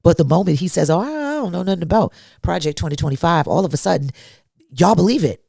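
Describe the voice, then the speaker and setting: A woman's voice: on the low side, expressive, fast, gravelly, warm tone, husky, dry recording, studio quality. A woman hosting a solo talk podcast, emphatic and blunt, asking rhetorical questions, a little congested. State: congested from allergies. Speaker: podcast host.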